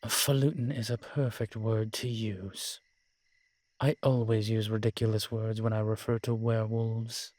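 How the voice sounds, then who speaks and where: A man's voice: on the low side, level tone, measured pace, darkish tone, dry recording, lightly acted. A man playing an ancient, proud and world-weary male vampire in an audio roleplay, talking in a wry, haughty way with old-fashioned fancy words. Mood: wry, defensive. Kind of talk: audio roleplay drama, one-sided dialogue.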